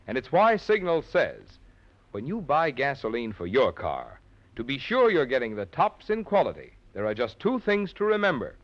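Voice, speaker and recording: A man's voice: medium pitch, huge pitch swings, normal speed. A male announcer reading a 1940s radio advertisement in a polished, emphatic, persuasive way. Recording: muffled old radio recording.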